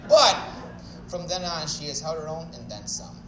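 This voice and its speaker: A young man with a Chicago accent gives a wedding speech full of jokes at his own expense, mumbling a bit as he talks. His voice sits a little high and sounds distant in a big echoey hall over a steady hum of guests. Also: normal speed. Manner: joking, self-deprecating, nervous at first. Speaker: wedding speaker. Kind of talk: wedding toast.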